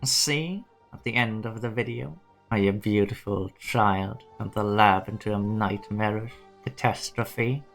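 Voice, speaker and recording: A man playing a cold, logical, condescending scientist in a fan dub, with a measured, cynical manner. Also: on the low side, vocal fry, a bit bright, faint music behind, rough recording. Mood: skeptical. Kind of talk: dramatic fan-dub voice acting.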